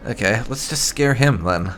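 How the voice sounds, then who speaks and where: A man's voice: medium pitch, expressive, moderate pace, fairly bright tone, faint music behind. A man role-playing a game character in a cheeky, teasing, thoughtful way. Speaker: gaming channel player role-playing his character. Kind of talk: in-character roleplay of game dialogue.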